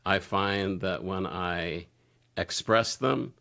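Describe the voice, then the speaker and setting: A man speaking candidly as a podcast guest, halting and searching for his words. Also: deep voice, creaky, bright, forceful tone. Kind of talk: candid personal conversation.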